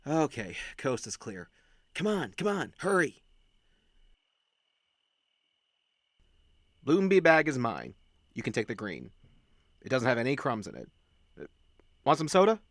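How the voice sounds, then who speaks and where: A man's voice: medium pitch, no echo, clean studio sound. A man voicing a friendly, chatty young male werewolf who talks in teasing gamer slang in an audio roleplay. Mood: hushed, conspiratorial. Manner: slangy, hushed-to-excitable, teasing.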